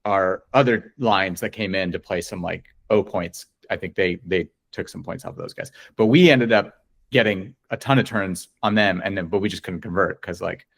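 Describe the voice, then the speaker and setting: A man's voice: low voice, moderate pace, creaky, a bit bright. A man of forty talking casually as a guest in an interview. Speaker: interview guest, coach and player. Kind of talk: casual chat.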